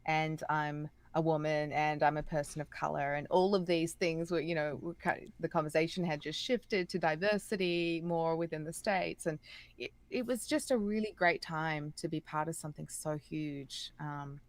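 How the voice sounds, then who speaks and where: A woman with an Australian accent, a guest chatting enthusiastically in an interview and sometimes pausing to search for words. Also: low voice, steady tone, dry recording. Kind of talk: casual interview chat.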